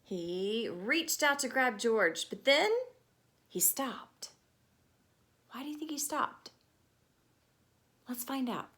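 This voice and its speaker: Female storytime teacher, higher-pitched and lively, unhurried delivery, speaks directly to young listeners. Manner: expressive, deliberate, asks listeners questions. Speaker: storytime teacher reading to children. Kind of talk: children's picture-book read-aloud with chatty asides.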